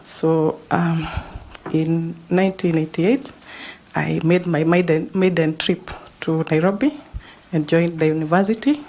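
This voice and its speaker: A Kenyan woman in her forties introducing herself formally to an interview panel, measured and halting, with frequent fillers and restarts. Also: sits low, warm tone. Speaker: job candidate.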